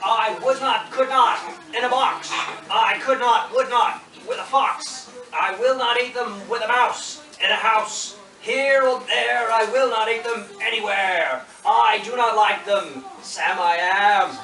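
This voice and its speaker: A performer voicing a stubborn, grumbling storybook puppet character, with drawn-out, singsong rhyming refusals. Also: mid-range voice, pushed and bright. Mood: firm refusal. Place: puppet show in an echoey room. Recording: echoey room, distant microphone.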